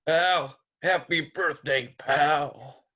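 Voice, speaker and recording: A man's voice: a little high, very slow, sharp tone, muffled recording, heavily acted. Larger-than-life American producer played by a man. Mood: jovial. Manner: chummy, breezy, full of slang. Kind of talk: amateur script table read.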